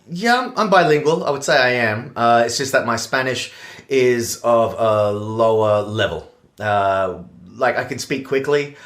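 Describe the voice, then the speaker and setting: Animated, teasing Australian-born man. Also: mid-range voice. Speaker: podcast co-host, comedian. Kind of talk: casual podcast chat.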